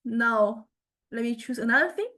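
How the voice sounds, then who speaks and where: A woman lecturer giving an informal software demonstration, speaking haltingly and often repeating her words as she explains. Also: on the high side, lively intonation. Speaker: music technology lecturer.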